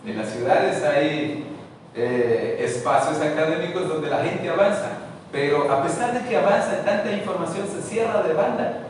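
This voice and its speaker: Earnest male spiritual leader, preacherly address and prayer, voice sits a bit high, distant mic in a reverberant meeting hall. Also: noisy background. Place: meeting room. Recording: echoey hall, picked up from far off.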